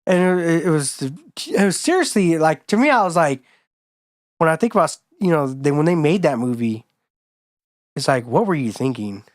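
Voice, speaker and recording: A man co-hosting a podcast in casual chat, his voice hoarse from being sick, talking in a laid-back, rambling, slangy way. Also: fairly high pitch, animated, moderate pace, dry recording, clean studio sound. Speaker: podcast co-host.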